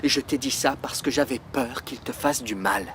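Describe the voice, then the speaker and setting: A man's voice: mid-range voice, steady tone, normal speed, a bit bright, slight background noise, lightly acted. An actor playing a persistent, anxious record label man in a French dub.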